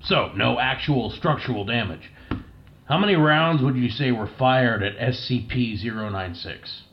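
A man's voice: mid-range voice, measured pace, close to an everyday voice. A man playing a formal, composed scientist conducting a debriefing in an audio drama, polite and clinical, on a slightly dull recording. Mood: formal, firm. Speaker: interviewer in an audio play.

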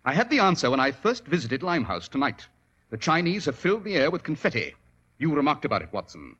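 An actor playing a shrewd, commanding detective in 1940s radio drama style, with precise, formal, theatrical delivery. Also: male voice, fairly high pitch, big pitch swings, fast, a bit bright, husky, highs cut off, exaggerated voice. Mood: coolly accusing. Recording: vintage 1940s radio recording.

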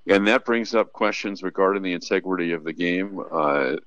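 A man's voice: sits low, moderate pace, fairly bright tone, lo-fi sound. A man who works as a radio play-by-play announcer, speaking earnestly as a guest in a conversational sports interview.

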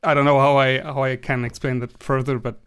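A man's voice: mid-range voice, level tone, a little fast, a bit bright, clean tone. A young adult man from Germany with a German accent, a guest in a casual interview, talking hesitantly with many restarts and fillers.

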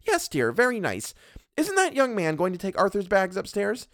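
A man's voice: sits high, huge pitch swings, moderate pace, a bit bright, no echo, clean studio sound, voice pushed up, heavily acted. A man reading a story and voicing an imperious, fussy middle-aged woman who scolds and condescends. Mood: haughty scolding.

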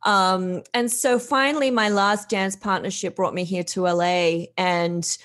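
A woman from Australia with a light Australian accent, telling her story as a podcast guest in a chatty, unhurried way. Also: medium pitch, fairly even intonation, fairly bright tone. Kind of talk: casual podcast interview.

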